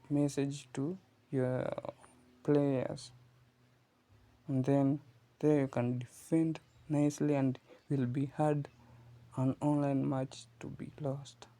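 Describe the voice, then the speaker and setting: A man talks slowly and gravelly, his pitch staying flat as he explains step by step. His is Kiswahili, and he checks in with his viewers as he goes. The sound is recorded on a phone. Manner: explains patiently to viewers, often asking "you see?". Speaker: gaming channel host. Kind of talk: casual gameplay tutorial commentary.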